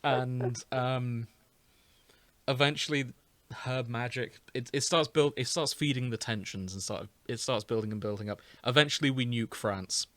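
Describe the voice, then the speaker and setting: A man's voice: medium pitch, normal speed, a bit bright, no echo. Male podcast host, mid-range voice, explaining plots conversationally in a dry-sounding space. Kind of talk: casual chat, explaining a show's plot.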